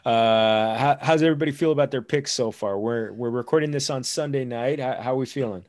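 A man's voice: medium pitch, brisk, darkish tone. A man hosting a sports podcast, upbeat and casual, mixing Spanish greetings into English. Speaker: podcast host.

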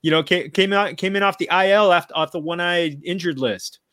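A man's voice: sits high, brisk. Upbeat middle-aged man hosting a podcast. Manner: animated, mixes in Spanish exclamations, many restarts. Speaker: podcast host. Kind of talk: casual chat.

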